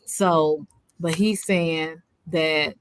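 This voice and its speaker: A woman's voice: sits low, fairly even intonation, very slow, clean tone, dry recording. A woman with a Southern accent hosting a casual livestream chat, talking in a rambling way with many fillers and restarts. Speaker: livestream host.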